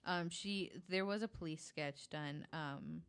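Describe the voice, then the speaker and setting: Low-voiced woman telling a story casually. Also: slow, fairly bright tone, clear, resonant tone. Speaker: podcast guest telling a true-crime case.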